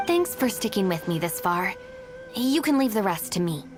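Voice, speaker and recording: An actress playing a cool, dutiful young gang deputy in a video game, matter-of-fact, guarded and a little weary. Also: female voice, mid-range voice, sing-song, normal speed, over music, natural voice. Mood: serious, resigned.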